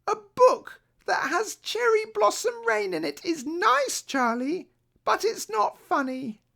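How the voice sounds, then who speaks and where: A woman voicing an excitable, stubborn, fretful little girl in a children's storybook, with childlike, insistent emphasis. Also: very high-pitched, takes it slowly, gravelly, voice pushed up, heavily acted. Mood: stubborn, emphatic. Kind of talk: children's storybook read aloud.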